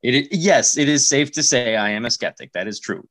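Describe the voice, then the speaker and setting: A man hosting a comedy podcast, speaking deliberately with dry politeness and some halting phrasing. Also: mid-range voice, lively intonation, fairly bright tone. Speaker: podcast host. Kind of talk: podcast hosting with comic banter.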